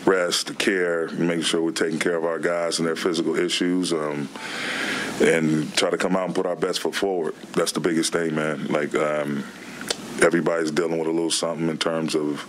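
A middle-aged man, a basketball head coach, answering questions at a postgame press conference in a plainspoken, relaxed way. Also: extremely low pitch, moderate pace, slight background noise. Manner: relaxed, plainspoken, frequent "man" and "you know". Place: press room before a team backdrop. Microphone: table microphone.

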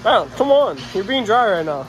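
A young man vlogging on his phone with friends, casual and excitable, shouting over a crowd at a basketball game. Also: high voice, normal speed. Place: school gym, then packed basketball gymnasium. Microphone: phone held at arm's length. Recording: loud crowd noise in a gym.

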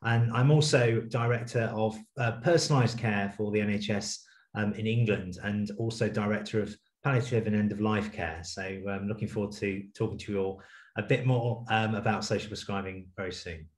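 A man in his forties giving a measured, polite introduction as a panelist on a video call. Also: lowish voice, steady tone, reverberant. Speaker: panelist, health executive. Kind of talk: formal self-introduction. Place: bright room indoors. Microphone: laptop video call.

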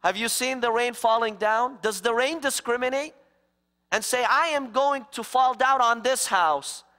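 A middle-aged man preaching an interfaith sermon to a church congregation, slow and emphatic with dramatic pauses. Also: high-pitched, pushed and bright. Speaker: guest preacher. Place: large church sanctuary, standing before the congregation. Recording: reverberant church hall.

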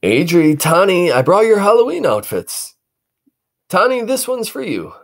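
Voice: medium pitch, sing-song, unhurried, pitched above the performer's own voice. A storybook reader voicing a cheerful father with an upbeat, announcing manner. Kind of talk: storybook read-aloud.

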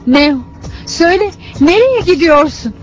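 High-voiced, discontented cartoon wife. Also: female voice, animated, measured pace, faint music behind. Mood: exasperated. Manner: complaining, theatrical. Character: discontented, sharp-tongued wife of a prophet, mother. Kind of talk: religious cartoon dubbing. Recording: dull lo-fi cartoon soundtrack.

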